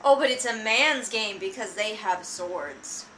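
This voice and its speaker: A woman's voice: mid-range voice, animated, a little slow, a bit bright, faint music behind, poor audio. A woman giving casual, sarcastic commentary, with an exasperated, mocking and emphatic way of talking. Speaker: book reviewer and vlogger.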